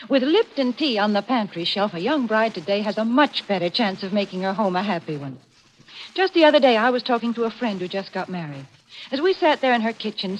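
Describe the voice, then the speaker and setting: A woman's voice: a little high, lightly acted. A woman playing a chatty, folksy spokeswoman in a 1940s radio advertisement, cheerful and emphatic. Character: chatty, cheerful female tea saleswoman. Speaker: sponsor's spokeswoman. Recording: slightly noisy vintage radio recording.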